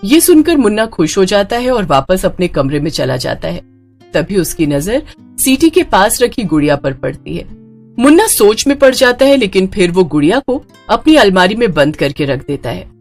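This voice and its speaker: A woman narrates a horror story with dramatic, scripted delivery. Her mid-pitched voice moves in a lively, animated way as she tells the tale. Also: normal speed, faint music behind.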